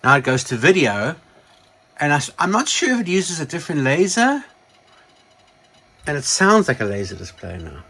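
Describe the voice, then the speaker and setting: Male video host, slightly high pitch, very animated intonation; chatty, unscripted show-and-tell with musing asides. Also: moderate pace, pauses often, slightly rough. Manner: chatty, musing aloud with self-corrections. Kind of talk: casual show-and-tell product demonstration.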